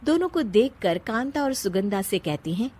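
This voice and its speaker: A woman's voice: mid-range voice, sing-song, normal speed, a little mellow, breathy edge. A woman narrating a scripted family story as a dramatic storyteller.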